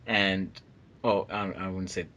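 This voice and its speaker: A man's voice: deep voice, fairly even intonation, unhurried, fairly bright tone, slight background noise. A man chatting casually as an editor on a commentary track, rambling and circling back over his points. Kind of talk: casual group commentary chat.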